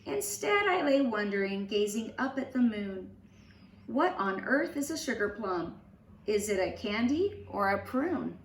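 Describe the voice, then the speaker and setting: A woman reading a children's storybook aloud in an expressive, animated storytelling manner. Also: medium pitch. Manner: slow, animated storytelling with acted voices. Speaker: storybook reader. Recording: roomy, distant mic with faint noise.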